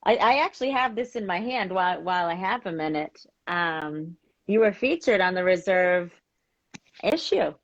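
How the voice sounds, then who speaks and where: A woman hosting a live interview show, chatty and quick to laugh. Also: fairly low pitch, animated. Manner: bubbly, laughs often, quick reactions. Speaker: host. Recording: dull, lo-fi video call audio.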